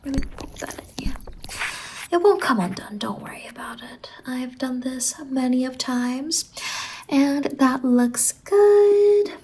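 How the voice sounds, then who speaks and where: A woman acts out a gentle, whimsical female stylist from a dreamlike salon roleplay. Her voice sits fairly high and moves slowly, drifting between soft speech and whispers. She is reassuring and close to the microphone, with faint noise behind her. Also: expressive, poor audio. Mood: soft, encouraging. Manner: gentle, reassuring, drawn-out words.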